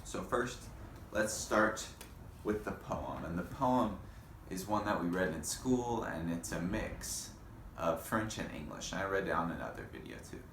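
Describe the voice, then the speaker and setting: A man teaching young children in a read-aloud lesson, speaking in simple, deliberate phrases and putting questions to them. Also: low voice, lively intonation, fairly bright tone. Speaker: elementary school teacher. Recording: echoey room with faint background noise.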